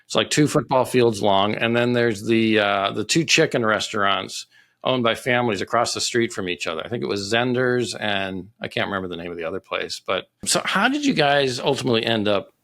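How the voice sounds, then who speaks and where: A man's voice: fairly low pitch, lively intonation, fairly quick, fairly bright tone. Upbeat man hosting. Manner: upbeat, enthusiastic greetings. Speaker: podcast host. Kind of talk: casual interview chat.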